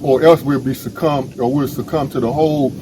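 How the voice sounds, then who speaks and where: A man's voice: medium pitch, fairly even intonation, a little fast. An American man speaks informally to his community in a mid-range voice. His delivery is earnest and urgent, and he repeats points for emphasis like an organizer rallying people. The phone recording carries some background noise. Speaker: emergency preparedness and ham radio organizer. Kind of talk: informal community video address.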